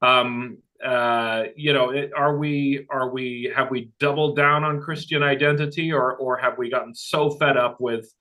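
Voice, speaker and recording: Swedish-born man with an American accent, bright-toned and eager, trips over his words. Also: medium pitch, steady tone, a little slow, hardly any pauses, low-quality recording. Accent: American English. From Sweden. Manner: eager, chatty, stumbling restarts. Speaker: audience member asking a question.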